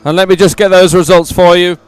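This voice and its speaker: A man reporting live outdoors at a sports event, informally reading out results and halting over figures. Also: high voice, little pitch movement, clean tone, dry recording, soft background music. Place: outdoors at medal ceremony area.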